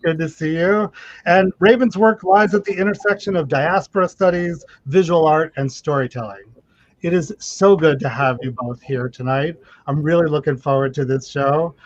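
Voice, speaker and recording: A man's voice: a little high, normal speed, no echo, dull audio. A man speaking as moderator, introducing guests at an online event. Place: online video call.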